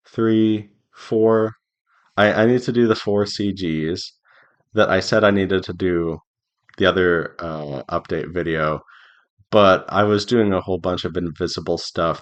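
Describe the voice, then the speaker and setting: A man giving an informal devlog update as an indie game developer, speaking haltingly as he thinks aloud. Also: low-pitched. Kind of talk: informal progress update to viewers.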